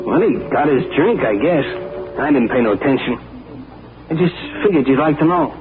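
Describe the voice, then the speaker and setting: A man's voice: medium pitch, expressive, normal speed, music in the background, close to an everyday voice. A man playing a sneering dockside thug. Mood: sly. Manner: tough slangy street talk, menacing. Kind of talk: 1940s radio acting. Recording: tinny old radio broadcast with hiss.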